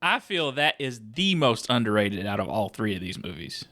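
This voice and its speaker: A man co-hosting a casual movie podcast, relaxed, steering the talk and reading critics' quotes aloud. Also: fairly low pitch, normal speed. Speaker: podcast co-host.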